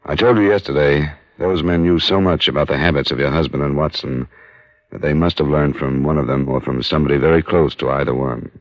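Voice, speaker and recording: An actor playing a steady, probing American insurance investigator in an old radio crime drama, speaking in terse, measured questions and dry narration. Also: male voice, extremely low pitch, dry recording. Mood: probing, firm. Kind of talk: 1950s radio detective drama acting. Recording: muffled vintage radio recording.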